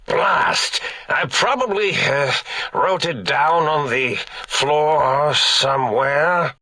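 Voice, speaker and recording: A man playing an eccentric, forgetful old mad scientist in a video game, raspy and theatrical, rambling along with pompous, absent-minded manner. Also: a little high, extremely slow, sharp tone, no room sound, heavily acted. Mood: befuddled, muttering. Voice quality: raspy, creaky. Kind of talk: video game character acting.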